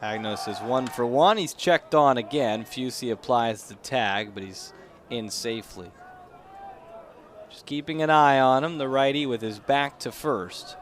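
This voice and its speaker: A man's voice: mid-range voice, unhurried. A young man doing live baseball play-by-play commentary over outdoor ambient noise. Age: young adult. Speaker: play-by-play announcer. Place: outdoor ballpark during a live game. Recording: noisy outdoor ballpark ambience.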